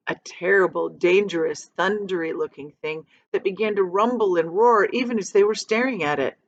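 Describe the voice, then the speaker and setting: A woman reading a children's book aloud like a teacher, expressive and dramatic, with emphatic pauses. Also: on the low side, lo-fi sound. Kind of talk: expressive storybook read-aloud.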